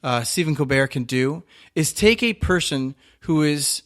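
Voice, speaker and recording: A man with a fairly high voice chats casually as a podcast co-host. He sounds earnest and pokes fun at himself. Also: expressive, unhurried, fairly bright tone. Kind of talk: casual chat reviewing a podcast.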